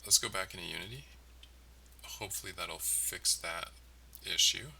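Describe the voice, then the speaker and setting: Low, gravelly, sharp-edged man's voice. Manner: thinking aloud in halting phrases. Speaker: game developer, livestream host. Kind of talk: casual livestream coding commentary. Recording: clearly noisy, distant mic, keyboard clicking. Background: typing, mouse clicks, steady noise.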